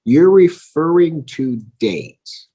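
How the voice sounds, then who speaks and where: A man speaking as a judge, giving deliberate, authoritative instructions from the bench. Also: on the high side, animated, mellow.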